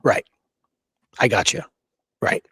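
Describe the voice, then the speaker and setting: A man's voice: slow pace, bright, forceful tone, no room sound. Emphatic man talking history, close dry sound. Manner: emphatic, often tagging statements with "right?". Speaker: podcast co-host. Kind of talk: casual opinionated history discussion.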